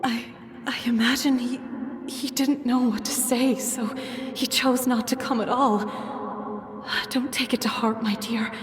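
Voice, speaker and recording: A woman playing a gentle, reassuring mother in a video game. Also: a little high, fairly even intonation, unhurried, close to an everyday voice. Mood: tender, reassuring. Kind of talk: English video game voice acting. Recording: distant, echoey hall with music.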